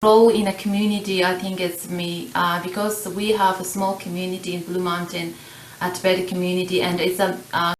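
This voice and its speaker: Halting woman, echoey and distant. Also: on the low side, flat delivery, a little hiss or hum. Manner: halting, many fillers. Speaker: community worker. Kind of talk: panel introduction. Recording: distant, echoey room with faint ambience.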